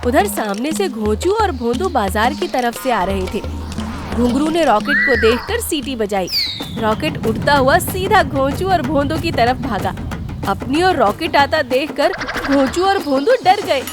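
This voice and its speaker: A woman's voice: sits high, lively intonation, a little slow. A woman delivering scripted narration for a cartoon story. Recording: loud music behind.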